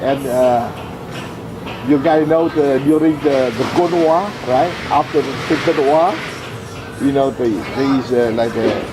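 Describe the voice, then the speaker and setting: An older man from Vietnam, a former air force officer, recounting his past in an interview with halting speech full of fillers and tag questions. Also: mid-range voice, noisy background. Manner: halting, many fillers and "you know, right?" tags. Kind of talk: oral history interview.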